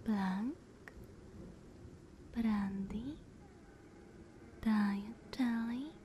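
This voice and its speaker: A woman acts out a silky, dominant vampire sister who treats the listener as a pet. Her voice is fairly high yet mellow and breathy, drawn out very slowly, sweetly condescending. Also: a little hiss or hum. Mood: sweetly doting. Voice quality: soft, breathy, often whispered. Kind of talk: ASMR roleplay acting.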